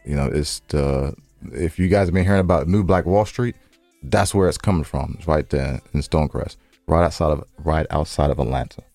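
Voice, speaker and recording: A man's voice: extremely low pitch, fairly quick, a little mellow, no room sound, faint music behind. An American man co-hosting a podcast in casual, unscripted banter, with a laid-back, conversational way of repeating himself. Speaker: podcast co-host.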